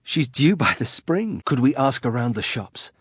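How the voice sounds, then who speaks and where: A man's voice: medium pitch, very animated, normal speed, slightly rough, dry recording, clean studio sound. An actor playing a proud, brooding young nobleman turned reluctant warrior in a fantasy video game, formal, terse and bitter. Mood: quietly moved.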